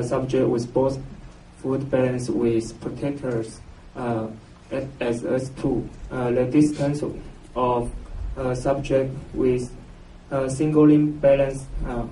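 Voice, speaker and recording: A man from Taiwan with a Taiwanese accent gives a scientific conference presentation, halting and hesitant, with very frequent filled pauses. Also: mid-range voice, warm tone. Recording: roomy, noisy, distant mic, muffled echo.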